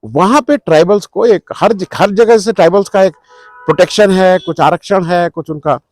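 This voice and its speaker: A man's voice: sits high, moderate pace, a little mellow, no echo, soft background music. A middle-aged man gives unscripted political commentary, explaining things to viewers in a conversational way and mixing in English words. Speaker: news commentary host.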